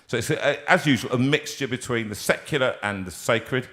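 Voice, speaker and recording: A man's voice: medium pitch, moderate pace, fairly bright tone. A man, a singer, chatting casually on a live stream from a music room, rambling with many fillers and restarts.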